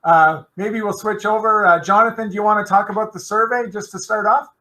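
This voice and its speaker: A woman's voice: mid-range voice, fairly even intonation, a little fast, fairly bright tone, poor audio. A woman chairing an informal conference call and handing over to speakers. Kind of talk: informal meeting moderation. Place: group video call.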